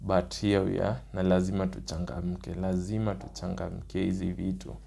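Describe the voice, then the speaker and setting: A young man with a Kenyan accent, his voice rough from the early morning, talking through his points one by one in a casual vlog. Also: sits low, flat delivery, moderate pace, warm tone. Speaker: nursing student.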